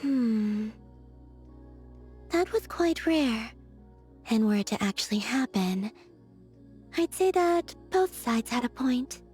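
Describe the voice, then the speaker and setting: An actress voicing a soft-spoken, aloof young woman in a game, with a breathy, whispery manner and slow, languid delivery. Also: fairly high pitch, lively intonation, soft background music, exaggerated voice. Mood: musing. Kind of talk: video game character voice lines.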